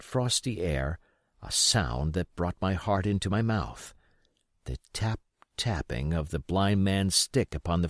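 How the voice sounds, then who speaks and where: Low-pitched man narrating, lively intonation. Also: no echo, clean studio sound. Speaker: audiobook reader. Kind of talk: expressive scripted narration of an adventure novel.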